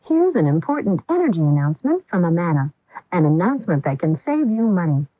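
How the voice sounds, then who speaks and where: A woman reads a scripted radio commercial with emphatic, informative delivery. Her mid-pitched voice moves up and down a lot. The sound is dry and thin, like a phone line. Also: sing-song, brisk, no echo. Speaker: commercial announcer.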